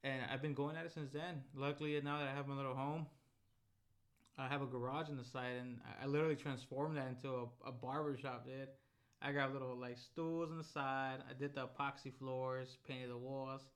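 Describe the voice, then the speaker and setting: A man's voice: medium pitch, little pitch movement, a little fast. A man, co-hosting a podcast in a laid-back, slangy, rambling chat. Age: 20s. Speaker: podcast co-host. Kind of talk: casual chat.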